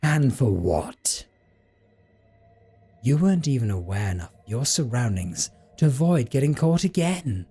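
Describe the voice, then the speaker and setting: A possessive vampire played by a man speaks in a dark, heavily breathy voice. He is condescending and theatrical, drawing his words out slowly in a coldly menacing roleplay monologue. His pitch swoops expressively as he taunts. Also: big pitch swings, full character voice. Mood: angry, scolding. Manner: condescending, drawn-out, theatrical delivery. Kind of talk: dramatic roleplay audio monologue.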